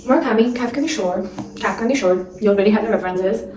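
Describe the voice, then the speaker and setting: A woman's voice: medium pitch, racing, a little mellow, roomy sound, distant mic, soft background music, low-quality recording. A young woman vlogging, chatting and gushing, full of fillers, with a non-native accent. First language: not English. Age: young adult. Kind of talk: casual chat.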